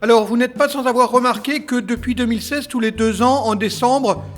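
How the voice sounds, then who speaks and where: A man's voice: very high voice, fairly quick, fairly bright tone, faint music behind. A man playing a callous manager announcing company policy in a comedy audio drama.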